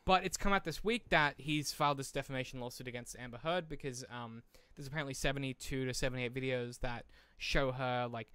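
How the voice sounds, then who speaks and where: A man's voice: medium pitch, fairly quick, few pauses, a bit bright, smooth, no echo. A man co-hosting a podcast, chatting casually in a rambling, discursive way with the odd swear word. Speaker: podcast co-host. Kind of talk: casual conversational podcast chat.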